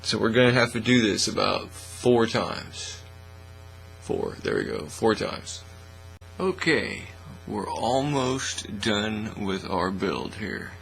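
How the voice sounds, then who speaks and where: A middle-aged man giving a casual, folksy, unhurried how-to demonstration at an indoor hobby bench. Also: fairly low pitch, sing-song, gravelly, a bit bright, low-quality recording. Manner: folksy, unhurried step-by-step talk. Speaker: hobby craft tutorial host. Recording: faint mains hum and noise.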